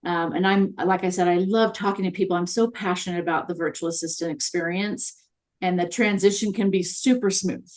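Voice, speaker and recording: Chatty upbeat woman, lowish voice. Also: fairly even intonation, brisk. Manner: chatty and upbeat, many fillers. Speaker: guest consultant on virtual assistants. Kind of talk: conversational interview.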